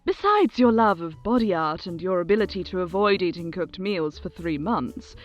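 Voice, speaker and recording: A woman's voice: fairly low pitch, animated, moderate pace, lo-fi sound, soft background music, close to an everyday voice. A woman playing a regal, self-adoring overlord who hosts her own broadcast in a theatrical, condescending, grandly gracious way in an audio drama. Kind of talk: audio drama acting as a radio show host.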